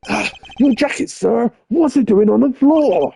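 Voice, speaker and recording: A man voicing an excitable, loyal male detective who talks loudly and keeps calling his boss sir. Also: very high voice, unhurried, gravelly, husky, pitched above the performer's own voice, full character voice. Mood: excited. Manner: eager, loud, calls everyone sir. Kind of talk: live-stream game voiceover. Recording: game music behind voice. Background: game music.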